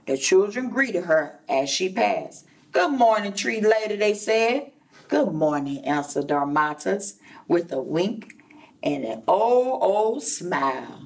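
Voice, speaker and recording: A woman reads a children's story aloud in a classroom, a little distant from the microphone. Her pitch moves in animated swings, and she takes her time with each phrase, like a preschool teacher speaking to young listeners. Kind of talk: expressive children's read-aloud with asides to listeners. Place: indoor classroom.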